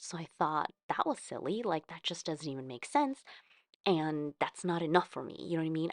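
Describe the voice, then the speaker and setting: A woman's voice: deep voice, dry recording, studio quality. A woman with an American accent chatting casually in a rambling, conversational way, thinking aloud as she hosts a craft video. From California, United States. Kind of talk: casual chat about journaling.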